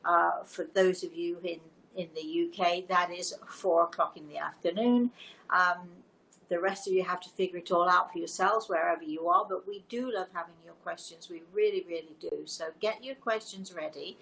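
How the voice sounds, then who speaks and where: Older woman, creaky voice, casual livestream host, deliberate emphatic delivery, some background noise. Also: lowish voice, a bit bright, poor audio. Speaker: live show host and storyteller. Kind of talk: casual livestream chat to viewers.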